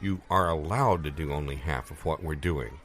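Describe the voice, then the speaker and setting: A man playing a stern, petty schoolteacher in an improvised comedy sketch, gruff and pedantic. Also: a bass voice, moderate pace. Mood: grudging, pedantic. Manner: gruff, pedantic, mock-solemn. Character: stern, petty male schoolteacher obsessed with Potato Day.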